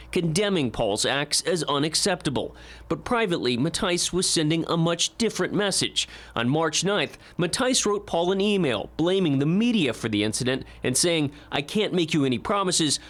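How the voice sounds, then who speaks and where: A man's voice: on the high side, a bit bright. An American man reading a scripted investigative television news report as the reporter.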